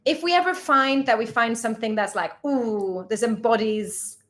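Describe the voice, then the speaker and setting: A woman's voice: on the high side, normal speed, fairly bright tone. Chatty woman from Sweden. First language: Swedish. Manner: chatty, laughs often, reads out viewer questions. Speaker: travel vlogger co-host. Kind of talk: casual live Q&A chat.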